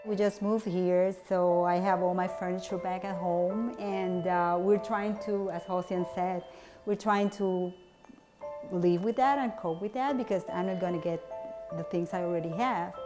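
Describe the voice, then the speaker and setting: A woman's voice: fairly low pitch, fairly even intonation. Puerto rican lady in a news interview, earnest, spanish-native english, music bed under it. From Puerto Rico. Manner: earnest, determined, halting with fillers. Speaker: interviewee, hospital staff member. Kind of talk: interview answers.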